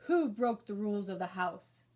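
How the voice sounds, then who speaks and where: Lady playing a grumpy hungry rug monster guy, loud and theatrical, storybook villain stuff. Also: mid-range voice, animated, normal speed, lightly acted. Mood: indignant. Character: muddy talking fur rug monster, male, indignant, hungry.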